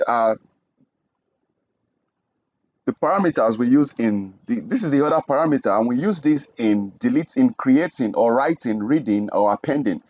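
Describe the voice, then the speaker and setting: A man's voice: medium pitch, darkish tone, dry recording, highs cut off. A young man from Nigeria teaching programming in a screen-recorded tutorial, explaining each step and checking in with "okay?". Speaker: programming instructor.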